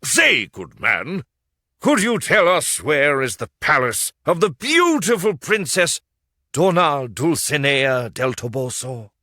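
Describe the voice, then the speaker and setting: A man's voice: on the high side, very animated, takes it slowly, pushed and bright, dry recording, clean studio sound, pitched above the performer's own voice, exaggerated voice. A man reading a storybook, voicing a grand, deluded old male knight with lofty, solemn speech.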